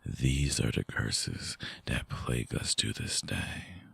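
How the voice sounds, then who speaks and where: A man playing a lovelorn, seductive vampire ex in an intimate ASMR audio drama, speaking softly and haltingly with a very deep, gravelly, husky voice. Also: big pitch swings, no echo, heavily acted. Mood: brooding, explanatory. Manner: slow, halting, softly explanatory.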